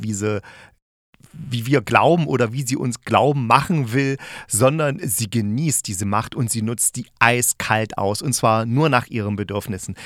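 A man's voice: medium pitch, a bit bright, dry recording. Man reviewing in close, dry sound. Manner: colloquial, emphatic, opinionated. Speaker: podcast host and radio drama critic. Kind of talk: enthusiastic conversational podcast review.

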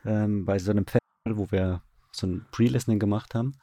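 A man's voice: lowish voice, fairly even intonation, fairly quick, warm tone, no room sound. A man who directs radio drama, answering interview questions in a casual, conversational way.

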